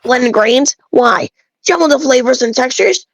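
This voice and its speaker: A woman's voice: high-pitched, lively intonation, unhurried, vocal fry, no echo, full character voice. A woman reading aloud as an opinionated, folksy male bread enthusiast who rambles and grumbles through game dialogue. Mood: grumbling, rambling.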